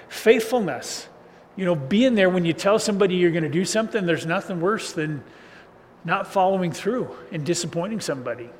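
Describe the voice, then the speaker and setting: A man preaching a casual sermon as a pastor, with a loud voice and a loose, chatty style full of chuckles and restarts. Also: high voice. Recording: reverberant hall, faint ambient noise.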